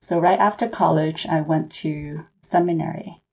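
A woman from Hong Kong, an author and seminary professor, answering interview questions in a measured, reflective way. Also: sits low, fairly even intonation, creaky, warm tone, husky, muffled recording.

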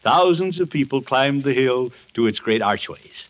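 An American actor playing a kindly, jovial middle-aged uncle in a 1950s radio comedy, with an avuncular, theatrical storyteller's delivery. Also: male voice, mid-range voice, expressive, moderate pace, lightly acted. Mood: gentle storytelling. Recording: muffled old 1950s radio broadcast.

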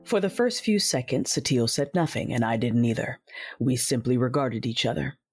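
A woman narrating and voicing a cold, proud female Sith warrior and mother in an audio drama, in a deliberate, measured way. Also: rumbling low, a little mellow, husky, faint music behind.

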